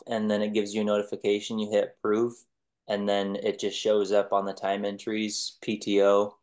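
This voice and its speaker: A young man who runs a painting business, explaining his methods matter-of-factly on a group video call. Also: on the low side. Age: young adult.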